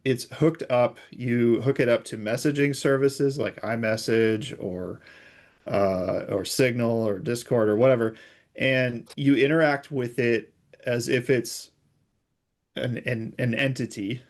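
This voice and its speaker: A man's voice: medium pitch, steady tone, unhurried. A man speaking as an interview guest, giving informal, conversational explanations as a technical expert.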